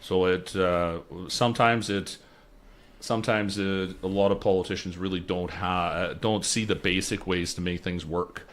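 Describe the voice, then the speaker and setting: A man's voice: low voice, fairly even intonation, moderate pace. A young man from British Columbia, a candidate answering interview questions in a radio studio, earnest and restarting words as he goes. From Lower Mainland, British Columbia. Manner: earnest, repeats words while he searches. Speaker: election candidate. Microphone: studio mic on boom arm.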